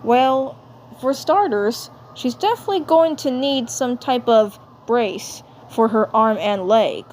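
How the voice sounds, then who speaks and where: A woman voicing a caring male cartoon helper, earnest and reassuring, in a deliberate, repetitive delivery. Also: a little high, darkish tone. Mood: practical. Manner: deliberate, repeats phrases. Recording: faint background noise.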